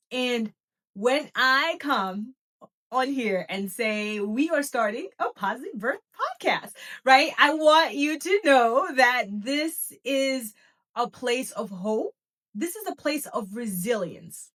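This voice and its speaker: A woman's voice: mid-range voice, fairly bright tone. A middle-aged woman from Malawi hosting a podcast as a doula, speaking earnestly and often checking in with "right?". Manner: earnest, repeats phrases, asks "right?". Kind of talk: heartfelt solo podcast talk.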